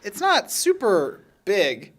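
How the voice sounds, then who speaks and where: A man improvising narration as game master of a tabletop game, describing things in an informal, halting way. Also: sits high, wildly swooping pitch, fairly bright tone.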